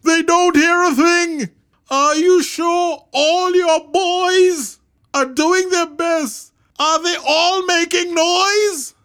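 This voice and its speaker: A woman voicing an earnest, kindhearted male elephant in a storybook reading, in a squeaky high put-on voice. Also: steady tone, very slow, fairly bright tone, heavily acted. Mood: urgent. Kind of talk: storybook read-aloud.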